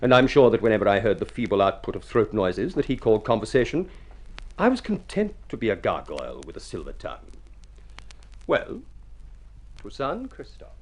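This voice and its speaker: Ugly silver-tongued adventurer, wry and florid, highly animated delivery, vintage radio drama. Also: male voice, moderate pace. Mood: wry, boastful. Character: ugly, eloquent, self-mocking male adventurer and storyteller. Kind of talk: mid-century radio drama acting.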